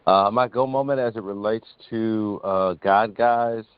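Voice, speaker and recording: Slow, deep-voiced man. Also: smooth, no echo. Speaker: actor and podcast guest. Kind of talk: measured interview answer. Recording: dull lo-fi online call.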